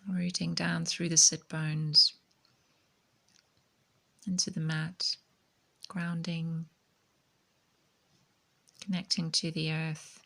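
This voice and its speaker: A woman teaching meditation in a gentle, calming, soft-spoken way, her phrases often trailing into breathy near-whispers. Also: low-pitched, extremely slow, pauses often.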